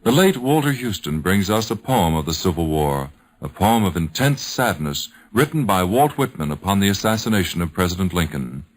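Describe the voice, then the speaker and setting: A man with an American accent hosting a radio program, reading scripted narration in a solemn, formal, measured 1950s broadcast style. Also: sits low, big pitch swings.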